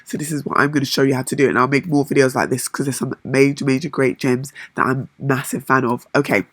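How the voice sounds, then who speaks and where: A woman with a hoarse voice, chatting enthusiastically to camera in a casual vlog, with lots of fillers and repeated words. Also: very deep voice, steady tone, quick pace, no echo. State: hoarse, lost voice. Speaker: crypto vlogger.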